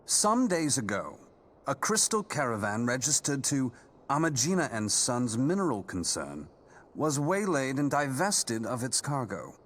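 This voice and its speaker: A gallant adventurer in a fantasy game. His mid-pitched male voice swings widely in intonation. He speaks eloquently, with courtly polish. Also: big pitch swings, unhurried, a bit bright, a little hiss or hum. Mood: serious briefing. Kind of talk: video game cutscene acting.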